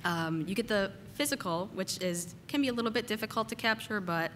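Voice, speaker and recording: A woman giving a casual technical conference talk, slightly hoarse from a cold and using lots of "uh" and "um" fillers. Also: medium pitch, brisk, fairly bright tone, slight background noise. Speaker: conference speaker. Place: conference hall.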